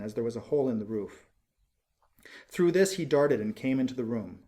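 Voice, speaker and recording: A man reading a storybook aloud as scripted narration, in an expressive, measured storytelling manner. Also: medium pitch. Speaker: audiobook reader.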